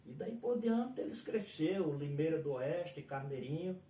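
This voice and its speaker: A man's voice: on the high side, lively intonation, moderate pace, warm tone, recorded from a distance. A man with a Northeastern Brazilian accent from Alagoas, an evangelist guest giving plainspoken, respectful answers about his life. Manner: plainspoken, respectful, keeps addressing the pastor. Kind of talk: testimony interview answers. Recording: echoey room from afar with faint noise.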